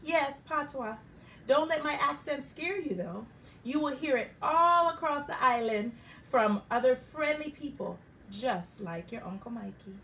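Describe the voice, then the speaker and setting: A woman's voice: high-pitched, animated, slow pace, few pauses, bright, forceful tone, far from the mic, slight background noise, heavily acted. A woman voicing a friendly, welcoming middle-aged uncle in a storybook, reassuring his niece.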